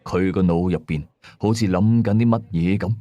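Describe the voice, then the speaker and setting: A man's voice: sits low, moderate pace, warm tone, no room sound, muffled recording. A man with a Cantonese accent narrating a scripted first-person ghost story. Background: faint music.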